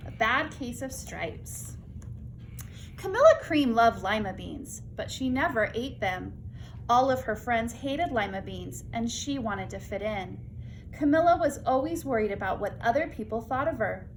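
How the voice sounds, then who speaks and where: A woman reading a children's story aloud as host, with expressive, dramatic emphasis. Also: on the high side, a little slow, a little hiss or hum, low-quality recording.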